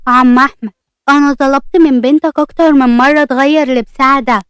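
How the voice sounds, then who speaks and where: A woman's voice: high-pitched, steady tone, a little fast, a bit bright, no room sound, studio quality. A woman voicing a bossy, hot-tempered schoolboy who speaks like a little grown-up, in an audio story. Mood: angry, scolding. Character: stern, possessive young schoolboy, hot-tempered but composed.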